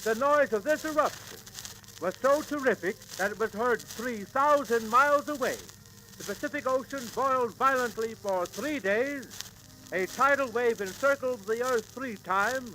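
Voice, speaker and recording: Male 1930s radio host-narrator, high-pitched, emphatic and dramatic, on a hissing, crackly transcription disc. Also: bright, forceful tone.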